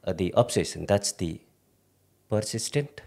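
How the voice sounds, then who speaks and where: Low, mellow-voiced man, psychiatrist guest. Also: expressive, measured pace, gravelly. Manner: choppy short phrases, repeats for emphasis, checks in with "yeah?". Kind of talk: conversational explanation in an interview.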